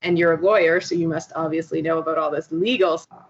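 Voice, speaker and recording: A woman with a Canadian accent and a slightly low voice talks as an interview guest. She is chatty and explanatory, in casual conversation. The recording is dull and has some background noise. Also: steady tone, fast talker. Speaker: interview guest, startup founder.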